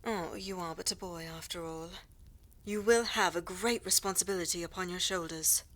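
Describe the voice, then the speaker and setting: A woman's voice: on the low side, moderate pace, fairly bright tone, natural voice. A woman playing a regal, condescending council leader in a fantasy audio drama, speaking in an imperious, formal manner. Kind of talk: dramatic audio roleplay acting.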